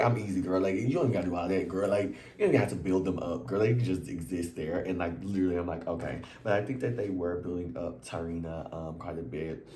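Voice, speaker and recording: A man hosting a reality TV recap in casual, slangy chat, his voice a little worn and hoarse, with sudden jumps into high exclamations. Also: reverberant. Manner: animated and chatty, slangy, leaps into high exclamations.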